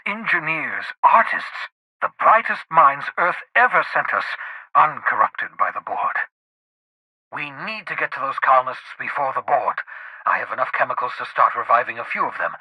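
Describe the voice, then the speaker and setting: An actor playing an eccentric, excitable fugitive scientist in a video game, an idealistic schemer who speaks in a theatrical, articulate and emphatic way. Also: male voice, a little high, breathy edge, no echo, heavily acted, poor audio. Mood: insistent, earnest. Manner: theatrical, articulate, emphatic, swinging between fervour and muttered asides. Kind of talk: video game character dialogue.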